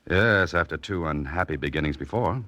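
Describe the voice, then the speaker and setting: Very low-voiced sardonic male adventurer, hard-boiled 1940s radio drama, close and dry sound. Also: fairly quick, sharp tone, dry recording. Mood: guarded, cool. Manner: dry, sardonic, clipped wisecracking. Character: wry, self-mocking adventurer and mystery writer, nobody's fool.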